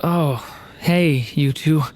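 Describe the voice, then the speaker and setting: An actor voicing a gentle, unsure young game character, speaking softly with hesitant stammers. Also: male voice, a little high, drawn-out words, a little hiss or hum. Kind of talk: video game voice acting.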